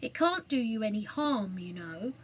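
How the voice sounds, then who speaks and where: A woman's voice: medium pitch, lively intonation, normal speed, slightly rough. A woman reading aloud, voicing a stern, earnest young boy who talks in whispers.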